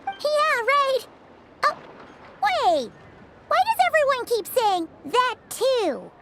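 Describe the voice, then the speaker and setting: A woman voicing a tiny childlike fairy companion in a video game, squeaky, bossy and exclamatory. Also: very animated, slow pace, heavy background noise, exaggerated voice. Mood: indignant. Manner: exclamatory, refers to self in third person. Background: loud game music.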